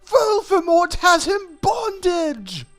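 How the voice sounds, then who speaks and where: Man doing a panicky, high-pitched teenage vampire boy. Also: big pitch swings, takes it slowly, a bit bright, exaggerated voice. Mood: panicked. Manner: melodramatic, urgent. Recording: music behind the voice.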